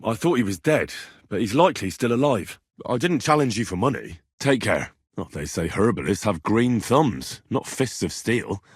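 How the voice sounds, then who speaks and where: An actor playing an earnest, plucky young medieval adventurer in a video game, polite and plain-spoken, with a slightly husky voice. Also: male voice, fairly low pitch, sing-song, normal speed, no room sound, studio quality. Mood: curious. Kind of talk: video game character dialogue.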